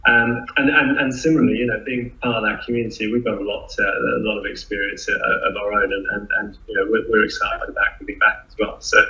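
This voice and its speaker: A man speaks in a low, even voice that still sounds bright and forceful. He answers a panel interview haltingly, repeating words and leaning on fillers. The room sounds echoey and the audio is muffled. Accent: non-New Zealand, foreign-born. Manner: halting, many restarts and fillers. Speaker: startup founder.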